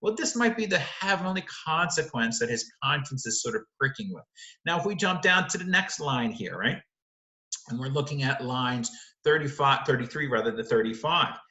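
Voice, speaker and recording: A man's voice: medium pitch, animated, moderate pace, sharp tone, reverberant, poor audio. A man teaching literature, walking through the text of a play and explaining it line by line, often correcting himself as he goes.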